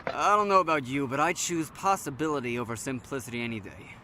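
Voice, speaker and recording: A man's voice: mid-range voice, fast talker, sharp tone, slight background noise, close to an everyday voice. A man playing an easygoing, philosophical survivor in an audio drama, wry, slangy and teasing. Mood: upbeat persuasion. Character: easygoing, philosophical male survivor, restless tinkerer. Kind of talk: audio drama acting, casual dialogue.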